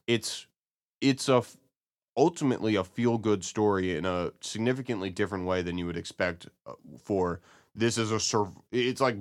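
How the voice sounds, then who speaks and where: A man co-hosting a podcast, discussing and reviewing in a casual, halting, analytical way. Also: on the low side. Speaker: podcast co-host.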